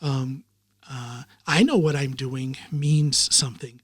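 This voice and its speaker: A man's voice: mid-range voice, slow, slightly rough, no echo, studio quality. A man, a podcast host being interviewed, who talks in a rambling, reflective way with many ums and uhs. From United States. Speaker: podcast host in the guest chair. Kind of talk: casual interview chat.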